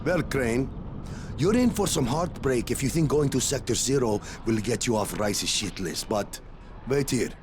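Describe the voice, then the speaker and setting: Man as blunt streetwise fixer in a game, middle pitch, faint background noise. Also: expressive, moderate pace, lightly acted. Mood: gruff, grateful. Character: blunt, streetwise male fixer helping people cross. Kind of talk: video game cutscene dialogue.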